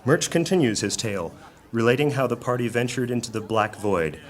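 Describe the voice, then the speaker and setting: A man narrating a fantasy audio drama as a solemn storyteller, measured, grave and formal. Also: medium pitch, lively intonation, natural voice.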